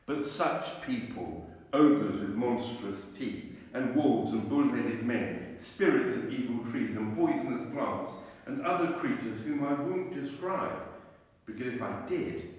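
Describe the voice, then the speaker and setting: In a large, very reverberant church, a man narrates a storybook from some distance off the microphone. He reads slowly and dramatically, with deliberate phrasing at a medium pitch. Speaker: clergyman reading a children's story aloud. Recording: very echoey church, far from mic.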